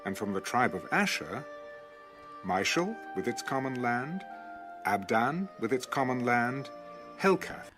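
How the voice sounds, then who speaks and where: A man reading Bible passages aloud in a measured, even recitation, as an audio Bible narrator. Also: medium pitch. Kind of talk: scripted scripture reading. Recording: music bed under the reading. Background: background music.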